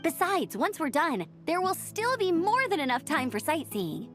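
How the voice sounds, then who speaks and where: An enthusiastic young female adventurer from a video game, chats in a high voice that swings up and down. She is loyal and encouraging, and music plays behind her. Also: big pitch swings, moderate pace, fairly bright tone, exaggerated voice. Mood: happy, encouraging. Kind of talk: video game character dialogue. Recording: music under the voice.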